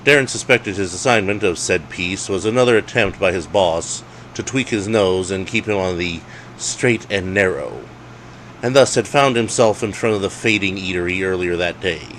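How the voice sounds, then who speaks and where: A man's voice: sits low, moderate pace, a bit bright, a little hiss or hum, low-quality recording. A man reading his own comedic ghost story aloud with a wry, dramatic storyteller's delivery.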